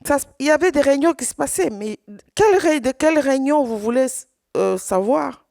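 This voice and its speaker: Halting woman with a gravelly voice. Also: high-pitched, animated, warm tone, dry recording, clean studio sound. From Congo. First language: Swahili. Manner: halting, with many repeated words and restarts. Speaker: survivor giving testimony. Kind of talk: personal testimony at a conference.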